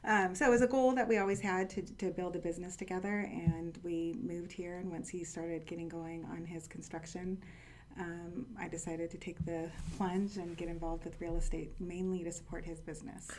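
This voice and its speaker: A woman's voice: fairly low pitch, steady tone, moderate pace, a little mellow, a little hiss or hum, low-quality recording. A woman in her forties from California, a real estate agent answering interview questions in a matter-of-fact way and laughing easily.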